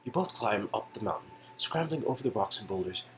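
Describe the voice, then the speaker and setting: A man's voice: on the low side, lively intonation, fairly quick, fairly bright tone, faint music behind. A man reading out an interactive story game as narrator, in a casual second-person storytelling style. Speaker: reader and narrator of game dialogue.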